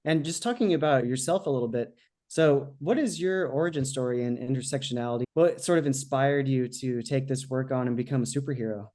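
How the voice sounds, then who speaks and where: A man's voice: mid-range voice, brisk, warm tone. Male podcast host, mid-range voice, friendly and a little hesitant, asking questions over a video call. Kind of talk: interview questions. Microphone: webcam on a video call.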